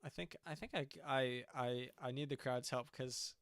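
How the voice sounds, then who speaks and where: A man's voice: medium pitch, fairly even intonation, moderate pace, clean tone, no room sound, clean studio sound. A young man, a band's singer, chatting casually on a livestream and often stopping to restart his sentences. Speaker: singer and band frontman.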